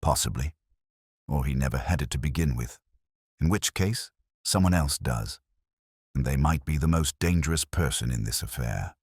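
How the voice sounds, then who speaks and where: A man reading a husky, measured inspector. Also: very deep voice, dry recording, clean studio sound, exaggerated voice. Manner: quiet, measured, probing. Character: shrewd, calm middle-aged male police inspector. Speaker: detective inspector.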